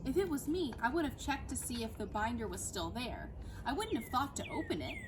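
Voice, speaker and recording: A woman voicing a thoughtful, sharp-minded schoolgirl detective in a storybook, earnestly reasoning things through. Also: high-pitched, huge pitch swings, fairly quick. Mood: doubtful. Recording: clear background noise outdoors.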